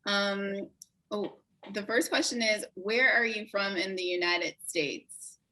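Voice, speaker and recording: Bright-voiced encouraging woman interviewer. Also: medium pitch, rough recording. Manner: encouraging, quick affirmations. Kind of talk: interview host.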